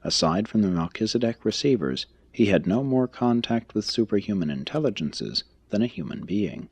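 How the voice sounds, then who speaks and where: A man reading a religious text aloud as scripted narration, in a formal, measured, expository manner. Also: fairly low pitch, expressive, husky, no echo. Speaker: audiobook reader.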